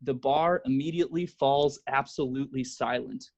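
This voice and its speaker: A man's voice: mid-range voice, normal speed, darkish tone. An American man hosting a podcast in casual comedy chat. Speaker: podcast host. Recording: dull lo-fi podcast audio.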